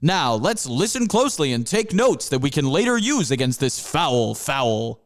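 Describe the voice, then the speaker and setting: A man's voice: fairly high pitch, sing-song, moderate pace. A man voicing an enthusiastic male panther mascot who rallies his students. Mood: rallying. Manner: rallying, enthusiastic.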